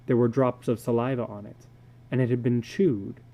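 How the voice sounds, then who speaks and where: A man giving a measured, deliberate dramatic reading of a literary story as its narrator. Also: mid-range voice, fairly even intonation, creaky, highs cut off.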